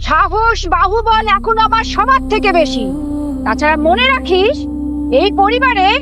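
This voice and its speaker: A woman's voice: very high-pitched, lively intonation, measured pace, loud music behind the voice. A woman playing a domineering, vain matriarch of a prehistoric clan in an audio drama, with a commanding, imperious manner. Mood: stern, threatening. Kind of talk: Bengali audio drama acting.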